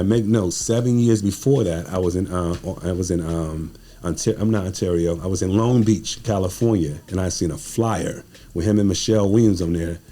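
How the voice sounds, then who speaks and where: A man's voice: sits low, dark tone. An American man talking as a guest playwright, telling stories in a conversational, informal and slangy way. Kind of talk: conversational interview storytelling.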